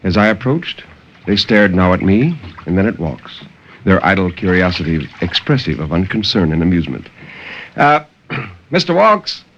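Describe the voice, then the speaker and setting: A man's voice: low-pitched, sing-song, normal speed, fairly bright tone, heavily acted. Muffled old-radio sea captain played by a man, dramatic. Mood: coolly polite. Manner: theatrical, intense, formal diction. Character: sea captain recounting his ordeal, stern and dramatic. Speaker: storyteller. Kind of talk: 1950s dramatic horror radio narration. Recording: dull, lightly noisy 1950s radio recording.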